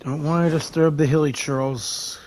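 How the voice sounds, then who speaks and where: An actor voicing a youthful, excitable adventuring companion in a casual, reactive way. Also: mid-range voice, moderate pace, slight background noise, close to an everyday voice.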